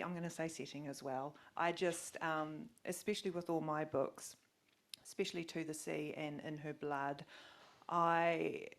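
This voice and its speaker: A woman with a New Zealand accent, speaking as an author on a panel, chatty and casual. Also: low voice. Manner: chatty, casual, many fillers. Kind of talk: panel discussion.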